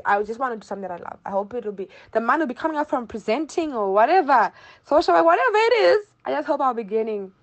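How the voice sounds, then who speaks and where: A woman in her early twenties with a Zimbabwean accent, chatting informally as an interview guest and often repeating phrases for emphasis. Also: mid-range voice. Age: early 20s. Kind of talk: casual conversational interview answers.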